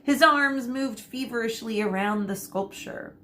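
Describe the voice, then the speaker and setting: A woman teacher reading a children's book aloud, pausing to explain words and giving the characters lively voices. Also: on the high side, slight background noise. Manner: explains words for kids mid-story.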